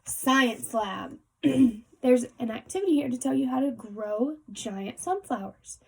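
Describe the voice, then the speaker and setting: A woman's voice: on the high side, slow pace, vocal fry, a little mellow, husky, low-quality recording. A woman reading a children's picture book aloud like a teacher, adding explanatory asides and speaking directly to young listeners.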